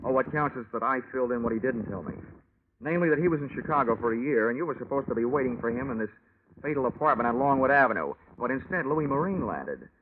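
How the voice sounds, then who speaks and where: A man's voice: mid-range voice, expressive, fast talker, noisy background, lightly acted. An American actor playing a wry, slangy, hard-boiled male insurance investigator in an old-time radio detective drama. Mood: wry, pressing. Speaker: lead actor and narrator. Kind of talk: 1940s-50s radio detective acting, hard-boiled narration. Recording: old radio recording, narrow tinny band, music.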